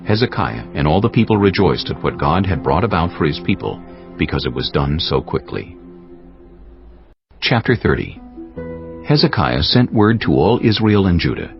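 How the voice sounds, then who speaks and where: Extremely deep, gravelly male narrator. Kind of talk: scripted dramatized Bible narration. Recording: slightly muffled, music underneath.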